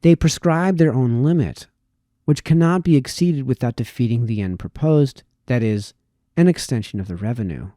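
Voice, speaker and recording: A man reading formal historical prose aloud in a measured, deliberate way, with occasional stumbles over words. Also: medium pitch, animated, dark tone, dry recording, clean studio sound. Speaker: reader, host of a reading series.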